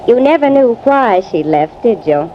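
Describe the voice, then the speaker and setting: An actress playing an ambitious, domineering young society wife in a breezy, insistent, theatrical 1950s American radio drama style. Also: female voice, a little high, sing-song, normal speed. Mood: smug, scheming. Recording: muffled, noisy vintage radio recording.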